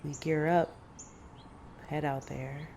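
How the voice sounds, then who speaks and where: A woman performs a middle-aged monster hunter in an audio drama. Her voice sits low as she recounts horrors in a grave, measured way. Faint noise runs under the recording. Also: little pitch movement, warm tone. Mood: somber, tense.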